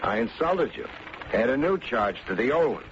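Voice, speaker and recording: Wry radio detective, muffled old recording. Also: male voice, mid-range voice, moderate pace, vocal fry, background noise. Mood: defiant, sardonic. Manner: deadpan, sardonic. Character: wry, unflappable private detective with a smart mouth. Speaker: lead actor and narrator of a detective serial. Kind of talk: old-time radio detective drama acting.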